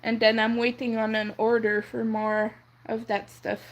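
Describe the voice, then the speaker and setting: A young woman whose first language is French, chatting softly and rambling on a crochet livestream. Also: fairly high pitch, completely flat delivery, unhurried, highs cut off. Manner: soft-spoken, rambling, counts under her breath. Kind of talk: casual chat while crocheting.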